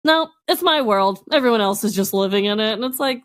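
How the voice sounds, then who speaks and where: Lively woman in casual comedic banter. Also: on the high side, a little fast, clean tone. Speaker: podcast panelist.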